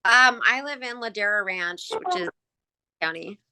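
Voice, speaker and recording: Bright-voiced California woman singer. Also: fairly high pitch, sing-song, unhurried, clean tone, no echo, rough recording. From Southern California. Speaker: lead singer. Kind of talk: casual interview.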